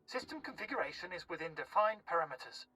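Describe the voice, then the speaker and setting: An actor playing a formal, detached station researcher recording a clipped status report in a video game. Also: male voice, fairly high pitch, very animated, fast, sharp tone, slight background noise, low-quality recording.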